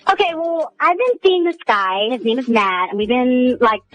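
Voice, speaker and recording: Woman caller, fairly high voice, very animated intonation, casual storytelling, faint music. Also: normal speed, no room sound, highs cut off. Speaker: radio show caller.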